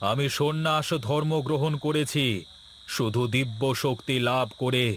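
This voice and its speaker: A man's voice: mid-range voice, normal speed, fairly bright tone, slight background noise, lightly acted. A man voicing a vengeful, defiant disciple of a sage in a cartoon story, with a menacing, intense manner. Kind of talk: Bengali cartoon story voice acting.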